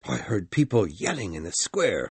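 A man acting a grave, formal gentleman in a gothic mystery audiobook, speaking in a deliberate, earnest way. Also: on the low side, huge pitch swings, husky, dry recording, full character voice. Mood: grave, probing. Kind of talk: dramatised audiobook dialogue.

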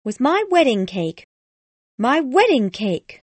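A woman acts out an imperious, death-obsessed old lady from a classic storybook. Her voice sits fairly high and speaks in sharp, cruel commands. The sound is very dry, with no room around it. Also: animated, unhurried, no echo. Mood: imperious, morbid. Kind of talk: acted audiobook dialogue.

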